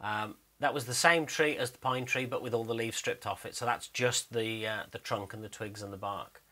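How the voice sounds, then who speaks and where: Man, mid-pitched, bright forceful tone, casual screen commentary, explanatory, hesitant, thinking aloud. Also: normal speed. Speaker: 3D animator narrating behind-the-scenes work.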